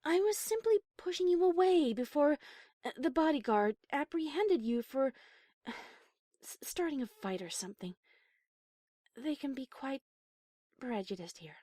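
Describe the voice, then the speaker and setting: A woman playing a prim, high-class pony cellist with proper, formal manners in an audio story. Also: big pitch swings, normal speed, long pauses, mellow, no room sound, studio quality. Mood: awkward, flustered. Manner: posh, proper, formal wording. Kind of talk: character acting in audio story reading.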